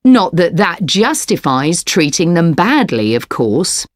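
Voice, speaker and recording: A composed business studies lecturer, speaking slowly with lively intonation. Her scripted academic lecture is formal and explanatory. The recording is very dry. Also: lowish voice, no room sound. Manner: formal, clearly structured explanation.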